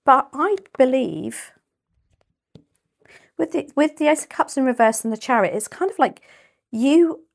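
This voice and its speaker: A woman with a British accent giving a casual tarot reading, chatty and rambling, her voice a little croaky and often clearing her throat. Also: high voice, animated, unhurried, pauses often. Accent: British English. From United Kingdom. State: slightly croaky voice. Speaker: tarot reader.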